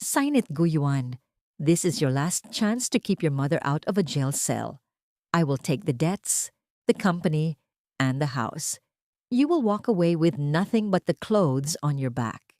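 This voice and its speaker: A woman voicing a cold, vengeful company director with a cutting, deliberate delivery. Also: low voice, animated, warm tone, dry recording, clean studio sound. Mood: icy verdict.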